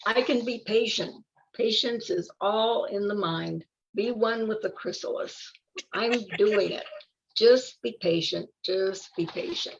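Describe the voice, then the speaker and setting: Older woman reading as an impatient, creaky little caterpillar. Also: mid-range voice, slow, a little hiss or hum. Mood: self-coaching. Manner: eager, self-coaching, exclamatory. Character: impatient, wisecracking young male caterpillar, boastful yet anxious. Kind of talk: children's picture book read-aloud. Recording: dull lo-fi audio.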